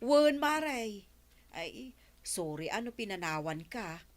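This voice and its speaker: A woman's voice: mid-range voice, sing-song, slow pace. A woman playing an aggrieved, plaintive friend in an Ilocano radio comedy drama, drawing out her exclamations with an Ilocano accent.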